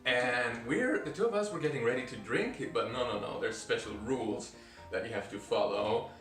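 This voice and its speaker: Casual vlogger guy, mid-pitch and easygoing, roomy echo, mic a bit far off. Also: soft background music. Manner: chatty, animated, doing quick impressions of others. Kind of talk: casual storytelling vlog.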